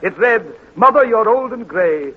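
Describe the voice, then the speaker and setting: A pompous, husky poet. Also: male voice, sits high, animated, a little slow. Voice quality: florid, declaiming. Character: pompous male poet of soggy verse. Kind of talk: 1940s radio comedy acting. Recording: muffled old radio recording.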